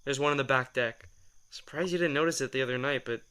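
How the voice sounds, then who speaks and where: A brooding young vampire, voiced by a man, keeps his answers short and dry. The voice is bright and forward, set at an ordinary male pitch, and he never raises it much. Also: fast, clean tone, lightly acted. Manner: low-key, dry, curt replies. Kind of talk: ASMR audio drama acting.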